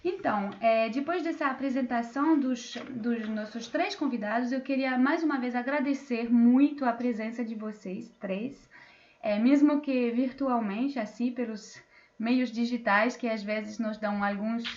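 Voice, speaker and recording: A woman's voice: fairly high pitch, unhurried, a little mellow, dull audio. Hesitant woman moderating a video call. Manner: hesitant, many fillers and restarts. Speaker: debate moderator. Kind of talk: online panel introductions.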